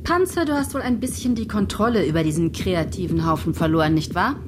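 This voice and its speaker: A woman's voice: lowish voice, animated, a little fast, noisy background, lightly acted. An actress playing a dry, sarcastic woman who runs a television writing team in a radio drama.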